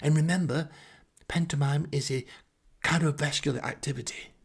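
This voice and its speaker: A man voicing a bossy female nurse giving measured, brisk instructions in a comic story. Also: a little high, steady tone, gravelly, husky, pitched above the performer's own voice.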